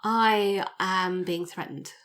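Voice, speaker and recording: A woman's voice: fairly low pitch, steady tone, slow, fairly bright tone. A woman playing a flustered, hesitant female client in a detective roleplay, evasive about her troubles. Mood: evasive, anxious. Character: intimidating, breathless, dishevelled female client under threat. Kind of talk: tabletop roleplay, noir detective audio play.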